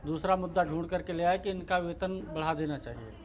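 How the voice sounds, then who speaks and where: A man's voice: on the high side, fairly quick. A man arguing insistently as a panelist in a TV debate, repeating himself to hold the floor. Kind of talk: argumentative TV debate. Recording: clearly noisy live feed.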